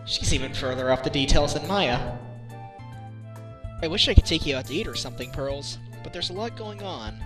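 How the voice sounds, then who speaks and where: A man's voice: a little high, expressive, normal speed, over music, close to an everyday voice. A man in a game fan dub playing a good-natured defense lawyer with a wry, casual manner. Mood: friendly.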